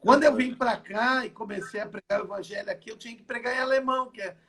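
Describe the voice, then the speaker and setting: A man's voice: very high voice, quick pace, pushed and bright. An older man from Brazil, a pastor giving his testimony in an online interview from home, repeating and restarting phrases as he talks. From southern Brazil. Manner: repetitive, stacks and restarts phrases. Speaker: apostle and pastor.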